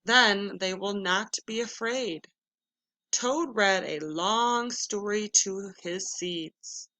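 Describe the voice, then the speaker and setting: A woman's voice: medium pitch, fairly bright tone. A woman teacher giving a read-aloud lesson for children, moving between story narration and instruction. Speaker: elementary school teacher.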